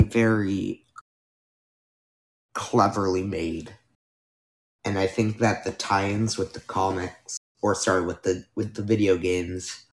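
A man's voice: on the low side, darkish tone. A young man hosting a casual solo podcast, rambling with fillers and self-corrections while losing his voice. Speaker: podcast host. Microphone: new microphone being tested.

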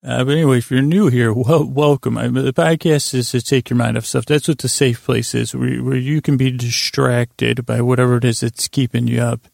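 A man hosting a sleep podcast with a meandering, whimsical monologue, halting often with stammers, repeats and long pauses. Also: medium pitch, steady tone, gravelly, no room sound, studio quality. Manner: gently whimsical, wandering off on tangents. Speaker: podcast host. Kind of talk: rambling bedtime monologue to lull listeners to sleep.

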